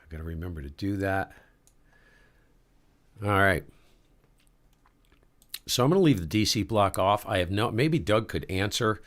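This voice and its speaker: A man with a very low voice running a casual live ham radio demo, talking to himself as he adjusts settings. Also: normal speed, pauses often. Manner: relaxed, talks to himself while adjusting gear. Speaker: ham radio livestream host.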